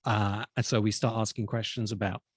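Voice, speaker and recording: A man speaking as an expert guest in a conversational discussion, explaining ideas in a thoughtful, halting way. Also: lowish voice, little pitch movement, husky, no room sound, clean studio sound. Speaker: interviewed science fiction expert.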